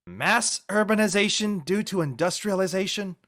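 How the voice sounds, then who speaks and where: A woman's voice: medium pitch, lively intonation, normal speed, a bit bright, lightly acted. A woman playing a studious, good-natured teenage schoolboy in a drama read. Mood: anxious studying. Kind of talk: audio drama table read acting.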